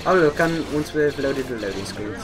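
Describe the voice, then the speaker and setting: A young man giving excitable, exclaiming commentary while playing a co-op game. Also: medium pitch, normal speed. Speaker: gaming video player.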